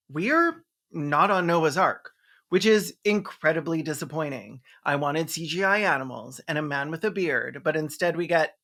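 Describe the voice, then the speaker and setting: A campy online host delivers comedic movie commentary at a medium pitch. The delivery is sarcastic and peppered with swearing, like someone openly mocking a film while reacting to it. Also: gravelly, a bit bright.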